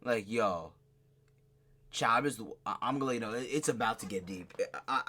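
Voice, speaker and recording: A man doing live reaction commentary in casual slang, excitable and prone to repeating his exclamations. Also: mid-range voice, moderate pace. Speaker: streamer reacting to a horror video.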